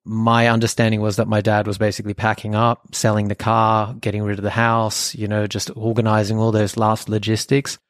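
Earnest low-voiced man telling his story. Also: completely flat delivery, fairly quick, few pauses, dry recording, clean studio sound. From Australia. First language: German. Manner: earnest, reflective, many fillers. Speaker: podcast co-host. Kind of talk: personal storytelling.

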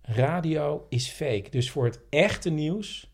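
A man's voice: on the high side, animated, takes it slowly. A man co-hosting a podcast, telling stories and explaining things in a casual, conversational way. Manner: explanatory, anecdotal. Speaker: podcast co-host.

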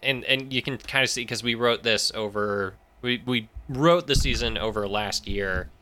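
A man's voice: medium pitch, normal speed, sharp tone, slight background noise. A man explaining things as an interview guest in a casual chat, thinking aloud as he goes. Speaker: podcast writer, interview guest.

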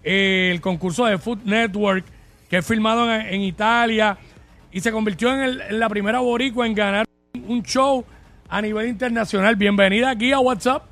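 A Puerto Rican man co-hosting a radio show, talking with a notably high voice. He is upbeat and enthusiastic, and he teases his co-host. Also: fairly even intonation, moderate pace, a bit bright, soft background music. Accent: Puerto Rican Spanish. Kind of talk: radio interview.

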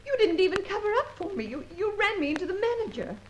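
Shrill-pitched, resentful woman shoplifter. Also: big pitch swings, fast talker, dull audio. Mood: resentful, defensive. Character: resentful woman shoplifter, poor, in her thirties. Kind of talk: old-time radio serial acting. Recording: distant, faintly noisy old recording.

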